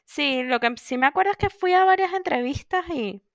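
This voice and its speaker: A woman's voice: high-pitched, normal speed, no room sound. Chatty woman, dry sound. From Venezuela. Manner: chatty, animated storytelling with quoted asides. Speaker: podcast co-host. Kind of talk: casual chat.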